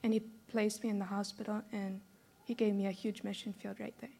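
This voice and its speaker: Brief: woman in her 30s raised in Brazil, earnest testimony, level gravelly delivery. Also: mid-range voice, flat delivery, fairly quick, warm tone. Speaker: former teacher giving a testimony. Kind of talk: personal testimony.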